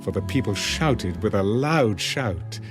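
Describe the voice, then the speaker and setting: A man narrating scripture for a dramatised audiobook in solemn, measured phrases. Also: lowish voice, lively intonation. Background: music.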